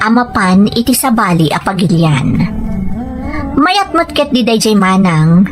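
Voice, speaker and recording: A woman's voice: mid-range voice, close to an everyday voice. A woman playing a determined wife and mother in a dramatized story, earnest and persuasive. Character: determined wife and mother set on working abroad. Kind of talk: dramatized first-person storytelling with dialogue.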